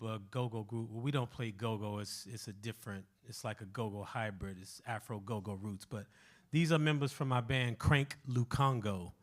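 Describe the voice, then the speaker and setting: A man, a musical director, talking casually and without a script about his work, in an informal, direct way. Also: medium pitch. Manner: informal, conversational, addresses listeners directly.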